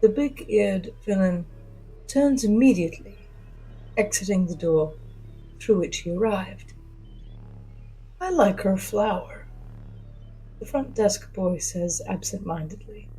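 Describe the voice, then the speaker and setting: A woman's voice: mid-range voice, a little slow, warm tone, breathy edge, soft background music, poor audio. A woman narrating a first-person audio drama from a script, with a wry delivery.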